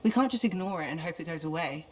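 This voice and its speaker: A woman's voice: low-pitched, expressive, fast talker, a little mellow, rough recording. An actress playing a curious, determined female mission specialist in a video game, with a wry, questioning manner. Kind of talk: video game cutscene acting.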